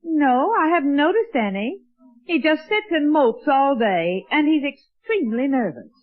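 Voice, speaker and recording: A woman's voice: high-pitched, big pitch swings, a little slow. An actress playing a fretful, doting middle-aged mother in a 1940s radio sitcom, proper and fussing. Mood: worried. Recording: tinny band-limited old radio recording.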